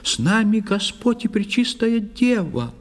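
An actor playing a pious, excitable old priest recounting in verse in an audio play. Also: male voice, high voice, a little slow. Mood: pleading, alarmed.

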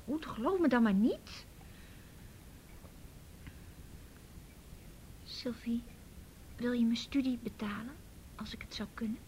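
A woman's voice: fairly high pitch, moderate pace, darkish tone, a little hiss or hum, lightly acted. An actress playing an outspoken, inquisitive young woman with an emotional, probing manner in a naturalistic radio drama. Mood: pleading. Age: young adult.

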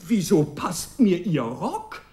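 An actor playing a reserved, brooding, weary young man in a German radio drama, speaking sparely and deliberately. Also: high-pitched, wildly swooping pitch, dark tone, full character voice. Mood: dry recollection.

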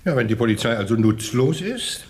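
A man plays a shrewd, officious chairman in a radio play. He speaks formally and oratorically in a medium pitch with lively swings. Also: a little fast, lightly acted. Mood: official persuasion. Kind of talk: radio drama acting.

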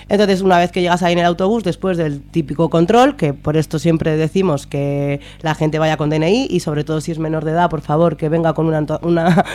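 A woman's voice: on the low side, darkish tone. A woman with a Spanish accent from Spain speaking as an activist guest in an informal radio interview, hesitant and colloquial, often checking for agreement. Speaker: activist guest, member of a citizens' assembly.

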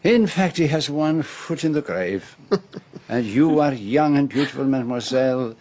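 A male actor as a gentleman detective in a radio mystery with a French accent and a medium-pitched voice. He is earnest and protective, choosing formal words deliberately and giving them theatrical weight. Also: expressive, lightly acted. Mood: warm, gallant. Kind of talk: radio drama acting.

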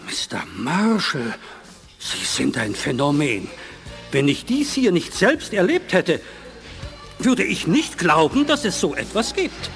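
An actor playing a courteous, formal bank director full of wonder in a German audio drama. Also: male voice, on the high side, sing-song, slow pace, creaky, fairly bright tone, faint music behind, exaggerated voice. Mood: amazed.

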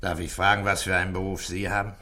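A middle-aged police inspector in an old radio drama, with a low voice. He is calm, gruff and dry, and uses few words. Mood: calm, patient. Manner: terse, unhurried, dry. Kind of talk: 1960s German radio play acting.

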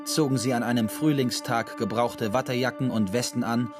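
Male actor as prison-camp story narrator, scripted radio play, medium pitch, musical underscore. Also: fairly even intonation, moderate pace, music in the background, lightly acted. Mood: narrating.

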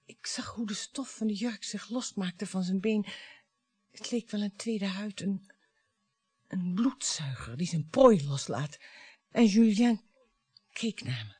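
An actress in a Dutch radio play playing a bitter, grieving mother who confronts her husband in a dramatic, halting, taunting way. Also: medium pitch, expressive, a little mellow, breathy edge, dry recording. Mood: anguished, halting.

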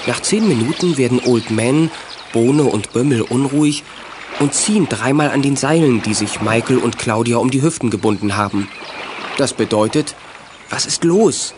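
A man's voice: mid-range voice, normal speed, background noise, close to an everyday voice. A man narrating a children's adventure audio play in a scripted storytelling style.

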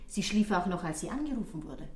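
A woman's voice: fairly low pitch, animated, fast, lightly acted. Echoey, chatty woman concierge, played by an actress. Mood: chatty, obliging. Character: obliging, chatty female concierge of an apartment house. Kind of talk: German radio drama acting. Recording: roomy, echoing sound.

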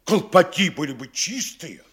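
A man's voice: a little high, animated, measured pace, a bit bright, slightly rough, exaggerated voice. An actor playing a pompous middle-aged town mayor who lectures and instructs his officials in a radio play. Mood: scolding. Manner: bossy, instructing, mock-scolding. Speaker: town governor. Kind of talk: studio radio play acting.

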